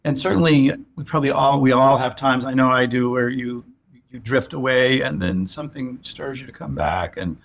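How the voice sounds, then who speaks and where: Gravelly-voiced guy in his 60s, rambly, lots of restarts, mid pitch. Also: normal speed. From Alaska. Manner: rambling with frequent restarts and repeated words. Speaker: guest, orthopedic spine surgeon. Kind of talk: reflective interview conversation.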